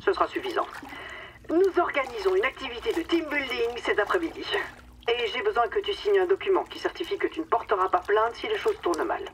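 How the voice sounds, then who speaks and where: A woman's voice: on the high side, animated, fairly quick, natural voice. An actress playing a falsely affectionate, condescending woman boss in a darkly comic radio drama, with a theatrical, mockingly sweet manner. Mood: smug, commanding. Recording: distant microphone, clear watery background noise.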